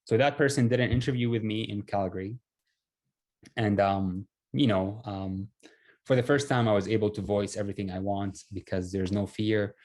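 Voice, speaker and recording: A man's voice: on the low side, fairly even intonation, moderate pace, a little mellow. A young man from Syria, telling his personal story in a casual, conversational, self-effacing way. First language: Arabic.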